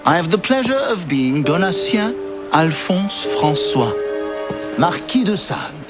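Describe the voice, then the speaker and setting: A scheming French aristocrat for a video game cutscene. He is florid and theatrical, with a sly amusement and very animated intonation. Loud music plays behind him. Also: sits high, unhurried. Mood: amused, grandiose.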